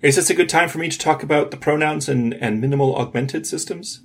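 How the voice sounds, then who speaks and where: A man's voice: fairly high pitch, brisk, breathy edge. A man co-hosting a podcast, explaining technical ideas step by step in an informal discussion. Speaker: podcast co-host.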